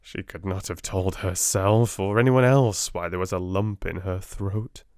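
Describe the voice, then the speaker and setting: A soft-spoken man with a British accent, gently and expressively reading a storybook as an audiobook narrator. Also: low-pitched, steady tone, a little slow, dry recording, studio quality.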